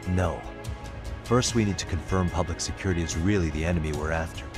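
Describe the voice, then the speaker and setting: A man playing a serious, guarded detective in a video game dub, speaking in a measured, firm, matter-of-fact way. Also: low-pitched, over music, close to an everyday voice. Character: serious, determined male detective, cool-headed and guarded.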